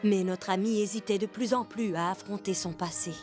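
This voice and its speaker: Lady narrator, storybook style, medium pitch, music under her. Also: fairly even intonation, fairly quick. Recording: music behind the voice.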